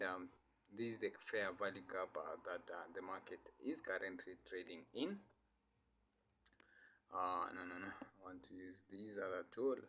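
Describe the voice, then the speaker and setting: A man with a flu explains his chart analysis to viewers, stopping often to restart his sentences. His voice sits a little low but comes across bright and forceful. The delivery is loose and unscripted, full of fillers. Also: a little hiss or hum. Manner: hesitant, many fillers and restarts. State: has a flu. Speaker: trading analyst. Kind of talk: casual screen-share chart analysis.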